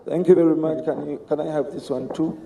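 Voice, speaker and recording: A hoarse middle-aged man, giving an emphatic campaign speech to a party conference. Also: fairly high pitch, fairly even intonation, warm tone, low-quality recording. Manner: slow, emphatic orator. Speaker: candidate for party office.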